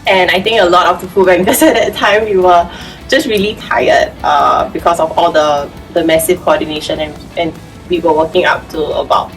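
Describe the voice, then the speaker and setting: Low-pitched woman chatting, slightly distant. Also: steady tone, moderate pace. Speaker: food bank staff member. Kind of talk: casual interview chat, reminiscing. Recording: slightly distant mic under background music. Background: background music.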